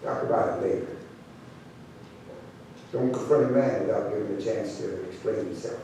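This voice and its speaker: A man voicing a stern but fair cowboy trail boss in measured, admonishing speech, told as a live story. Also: on the low side, mellow, voice pushed down, close to an everyday voice. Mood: quiet, admonishing. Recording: very echoey room, distant mic, faint noise.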